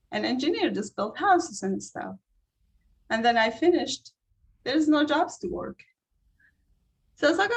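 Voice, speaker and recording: High-voiced Iraqi woman telling personal stories. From Iraq. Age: 30s. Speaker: interview guest. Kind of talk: personal storytelling in conversation.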